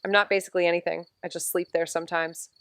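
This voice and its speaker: A woman's voice: on the low side, level tone, quick pace, clear, resonant tone, dry recording, natural voice. A woman playing a brash, sarcastic best-friend sidekick in an audio drama, blunt and quippy. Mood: dry sarcasm.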